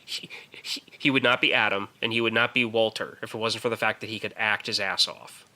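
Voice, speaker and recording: A man's voice: lowish voice, fairly even intonation, brisk, pushed and bright. A man co-hosting a podcast and casually discussing films, emphatic and opinionated, often repeating words as he works toward his point. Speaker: podcast co-host.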